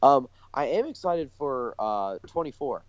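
A man's voice: medium pitch, very animated, a little slow. A man co-hosting a podcast, chatting casually in tentative, stop-and-start phrases on a dull recording. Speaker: podcast co-host. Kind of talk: casual chat. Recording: dull, lo-fi, roomy echo.

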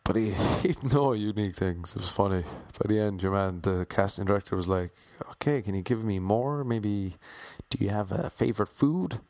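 Wry young Irishman, low dry voice. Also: normal speed, hardly any pauses, darkish tone, dry recording. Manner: wry, self-mocking, playfully imitating others. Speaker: actor telling about auditions. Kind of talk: casual storytelling monologue.

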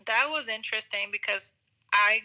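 A woman's voice: fairly high pitch, moderate pace. A young woman from Puerto Rico, a musician, chatting in an interview and laughing easily as she tells stories. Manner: relaxed, laughs often, talks with fillers. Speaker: musician guest. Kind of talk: casual interview conversation.

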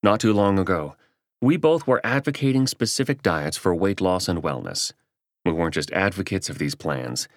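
Deep-voiced man narrating a nonfiction audiobook. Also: no echo, studio quality.

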